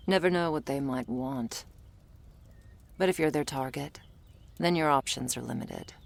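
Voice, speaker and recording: A woman playing a jaded, prickly monster hunter in a roleplay audio drama, blunt and sardonic, with a low gravelly voice. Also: exaggerated voice.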